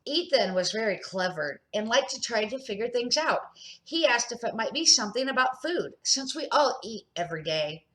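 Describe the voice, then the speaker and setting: A woman's voice: fairly high pitch, lively intonation, moderate pace, a bit bright. An older woman, a grandmother, reading a children's storybook aloud as an amateur narrator, with expression and the odd small stumble.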